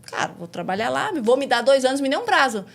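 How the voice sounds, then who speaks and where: A woman's voice: high-pitched, sing-song, quick pace. A woman in her forties from Salvador with a Bahian accent, frankly telling the story of her career in a casual conversation, with slang and the odd swear word. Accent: Bahian Portuguese. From Salvador, Brazil. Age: 40s. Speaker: guest.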